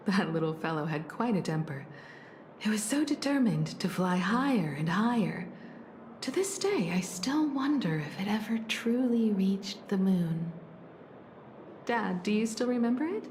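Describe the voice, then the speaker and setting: A woman playing a composed, tender grown daughter in a video game, measured and reflective in her delivery. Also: medium pitch, expressive, a little mellow, slight background noise, lightly acted. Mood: calm, nostalgic. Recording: roomy echo, music behind. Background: music.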